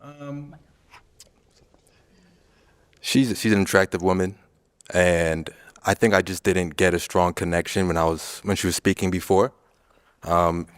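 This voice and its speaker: A man in his thirties, a dating show contestant, speaking politely and hesitantly with many pauses and ums. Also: low-pitched, no room sound, clean studio sound. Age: 30s. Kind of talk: casual chat.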